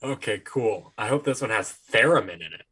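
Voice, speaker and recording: A man's voice: on the low side, animated, fairly quick, pushed and bright, slightly rough. A man co-hosting a podcast, trading casual, crude jokes in short conversational interjections. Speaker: podcast co-host. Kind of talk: casual comedic banter. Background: royalty-free synthesizer music.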